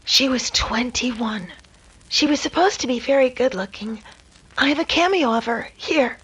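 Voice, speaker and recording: An earnest young lady of old radio drama, played by a woman, speaking high with a slightly husky edge. Her delivery is polite and dramatic in the 1930s manner. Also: moderate pace, fairly bright tone, heavily acted, low-quality recording. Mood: nervous, earnest. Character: nervous, polite young lady, reenacting her defiant ancestress.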